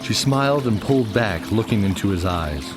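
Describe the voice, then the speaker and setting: A man's voice: lowish voice, expressive, moderate pace. A man narrating a scripted fantasy radio drama as its storyteller, with music underneath.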